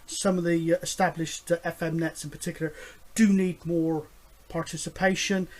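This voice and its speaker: A man speaking casually and unscripted to his viewers, hesitant and a little apologetic, as a radio hobbyist. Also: sits high. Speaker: CB radio enthusiast and video maker. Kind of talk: casual spoken video outro.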